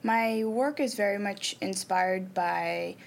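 A young woman from Nepal, a native Nepali speaker, answering interview questions about her art in a thoughtful way, with many ums and pauses. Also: medium pitch, creaky. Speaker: artist and arts program manager. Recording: faint background noise.

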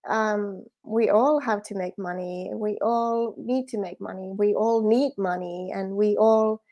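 Gravelly-voiced Finnish woman coach. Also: mid-range voice, steady tone, measured pace, dark tone, muffled recording. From Finland. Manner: earnest, laughs often, repeats words as she thinks. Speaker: guest, spiritual business coach. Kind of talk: casual interview chat.

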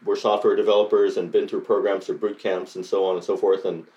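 A middle-aged man from Costa Rica with a deep, low-pitched voice. He answers interview questions in a halting way, full of fillers and restarts as he gathers his thoughts. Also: flat delivery, mellow, muffled recording. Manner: hesitant, many fillers and restarts. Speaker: coding bootcamp graduate.

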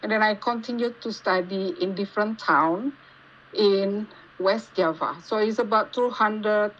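A woman with an Indonesian accent giving casual interview answers, haltingly searching for words as she goes. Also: mid-range voice, a bit bright. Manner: halting, searching for words in non-native English. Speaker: podcast guest, geologist. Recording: dull lo-fi call with faint noise.

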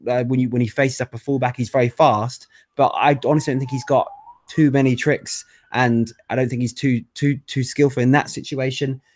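A man's voice: mid-range voice, steady tone, fairly quick. Man giving opinions on a podcast. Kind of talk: casual football podcast chat.

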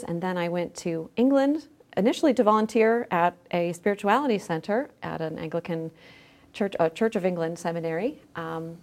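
A woman's voice: mid-range voice, a little mellow. A middle-aged woman speaking conversationally as an interview guest, recounting things in an informal, explanatory way. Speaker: podcast guest, journal managing editor.

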